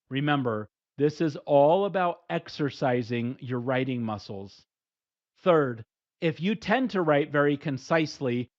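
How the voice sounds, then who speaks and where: A middle-aged man giving steady, plain, numbered advice in a scripted instructional talk. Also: fairly high pitch. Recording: dull lo-fi recording.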